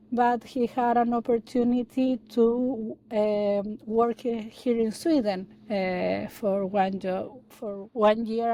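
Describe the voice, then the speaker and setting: Woman from Chile, halting and soft. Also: a little high, poor audio. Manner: halting, many fillers and restarts. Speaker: guest, data analyst. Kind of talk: casual interview talk.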